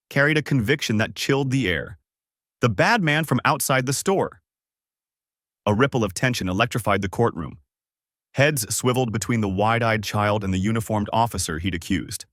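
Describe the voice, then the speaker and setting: A man's voice: mid-range voice, a bit bright, no echo, clean studio sound. A man narrating a scripted story in a dramatic, solemn, suspenseful way.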